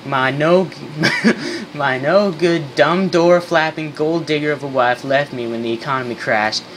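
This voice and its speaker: A man playing a boastful, bitter, soap-obsessed failed tycoon with deadpan delivery and old-fashioned slang in an amateur radio skit. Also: a little high, a little slow, background noise, natural voice.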